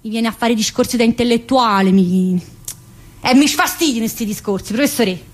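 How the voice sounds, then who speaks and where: A woman's voice: a little high, normal speed, natural voice. An actress playing a restless, needy, brash woman prisoner in a theatrical monologue, speaking colloquial, dialect-laced Italian with a southern accent. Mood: irritated, scornful. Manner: colloquial, dialect-laced, addressing an unseen teacher.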